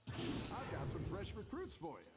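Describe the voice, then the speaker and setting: A high, swooping, upbeat innkeeper voice for a video game. Its tone is mellow and warm, with faint game music underneath, and it keeps cheering the player on. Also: male voice, wildly swooping pitch, normal speed. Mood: cheery. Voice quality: upbeat, encouraging announcer lines. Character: cheery male tavern keeper recruiting fighters.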